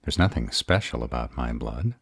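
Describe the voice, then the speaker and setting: A man playing an arrogant, protective vampire lover in a roleplay audio drama, husky and deliberate. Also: expressive, no echo, studio quality, exaggerated voice. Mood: puzzled, serious. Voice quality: husky, very deep voice. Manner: haughty, deliberate delivery.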